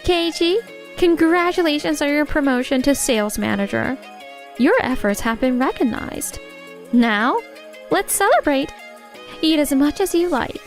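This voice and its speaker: A poised young lady boss played by a woman with a very high voice. She is composed, earnest and supportive, frank but kind, in a manga romance dub. Soft music runs behind her. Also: lively intonation, a little slow. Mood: affectionate. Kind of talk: anime-style dubbed dialogue.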